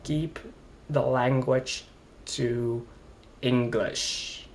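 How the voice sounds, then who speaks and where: A man's voice: medium pitch, steady tone, extremely slow, a little hiss or hum. A young man from Flanders, rambling and hesitant as he complains in a casual vlog. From Belgium, Flanders. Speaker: vlogger.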